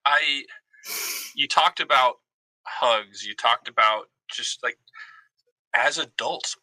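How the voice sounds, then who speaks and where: A man's voice: medium pitch, takes it slowly, bright, forceful tone, dry recording, rough recording. A man in his forties talking casually and reflectively as a guest, with a self-deprecating, joking manner. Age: 40s. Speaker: guest, Army veteran.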